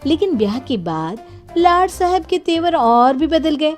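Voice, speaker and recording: A woman's voice: sits high, sing-song, unhurried, darkish tone, faint music behind. A woman reading a scripted children's story as its narrator.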